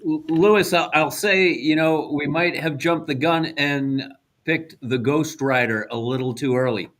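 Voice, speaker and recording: A man's voice: on the high side, measured pace, a bit bright. A man chatting casually as a panelist on a radio show. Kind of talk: casual chat.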